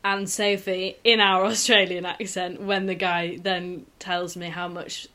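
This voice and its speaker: A woman's voice: lowish voice, flat delivery, a little slow, sharp tone. A young woman, hosting a podcast at home and chatting with a friend in a rambling, laughing way. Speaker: podcast host. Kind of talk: casual chat between friends. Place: shared home.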